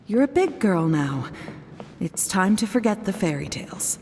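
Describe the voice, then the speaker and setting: A woman's voice: medium pitch, very animated, moderate pace, dark tone, in a large hall, slight background noise. A woman voicing a genteel, proper mother in a video game who is patronising and dismissive of childish dreams. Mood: gently scolding.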